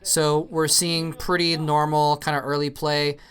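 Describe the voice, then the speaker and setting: A man's voice: a little high, level tone, unhurried, fairly bright tone, smooth. A young man hosting and commentating an old esports match solo, chatty and rambling with many fillers, lighting up at the plays. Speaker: esports commentator, show host.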